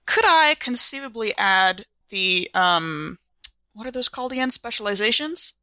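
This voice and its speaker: A woman's voice: a little high, expressive, normal speed, sharp tone, no echo. A woman playing a tabletop roleplaying game, casually describing what her character does.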